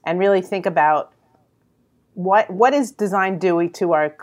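A woman's voice: lowish voice, a little slow. A woman with a New York accent, a creative business consultant speaking as a podcast guest in a direct, emphatic way. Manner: straight-talking, emphatic. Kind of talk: interview conversation.

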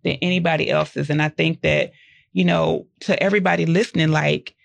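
A woman host and minister with a soft voice, chatting gently in a faith-based interview. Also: low voice, fairly even intonation, brisk, gravelly, dry recording. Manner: gentle, country-style talk with frequent affirmations.

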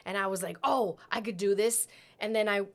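A woman's voice: mid-range voice, steady tone, a little fast. A woman co-hosts a casual podcast, trading wisecracks with her friend. She jokes easily and laughs often. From New York. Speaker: podcast co-host. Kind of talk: casual chat.

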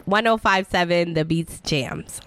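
A woman whose first language is Italian, hosting a casual podcast chat in an animated, self-mocking way and laughing often. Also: fairly low pitch, a little slow, over a noisy crowd. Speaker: podcast and radio host.

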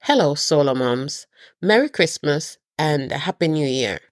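A woman's voice: low-pitched, expressive, no echo. Woman, middle-aged, podcast host, nasal from a cold, earnest, dry close sound. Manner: slow and earnest, with restarts. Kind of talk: casual holiday message to listeners.

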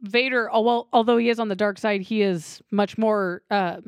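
A woman's voice: medium pitch, steady tone, moderate pace, no echo. A woman co-hosting a podcast, sick and losing her voice, chatting casually about a movie. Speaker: podcast co-host.

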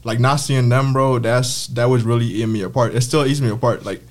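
A man's voice: mid-range voice, steady tone, brisk. A young man from the Caribbean, a guest who is a campus barber, chatting casually and laughing often on a podcast. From Caribbean, Saint Croix. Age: young adult.

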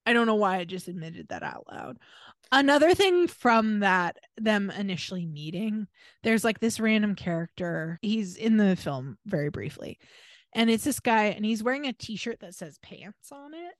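A woman's voice: medium pitch, expressive, normal speed, no echo, clean studio sound. An American woman co-hosting a podcast, chatting casually in a candid, wry, conversational way. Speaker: podcast co-host. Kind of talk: casual chat.

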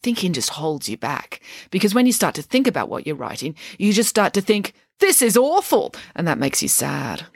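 A woman voicing a scatterbrained, chatty mother who is playful and whimsical, talking in a raised, animated way. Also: on the low side, moderate pace, gravelly, few pauses, no room sound, studio quality, pitched above the performer's own voice, exaggerated voice.

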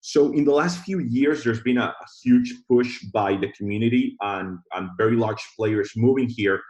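A man from Colombia, a guest on a podcast, speaking in a measured way with many restarts. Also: medium pitch, a little mellow, dull audio. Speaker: guest, tech founder. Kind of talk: podcast interview.

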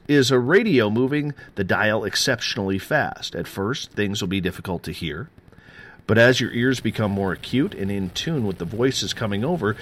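A man in his forties hosts a late-night radio show. He moves between quick, upbeat plugs and a lower, slower tone for serious points. His voice sits at an ordinary middle pitch. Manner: energetic promo patter, drops low and slow for serious parts. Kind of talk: live radio show intro and chat.

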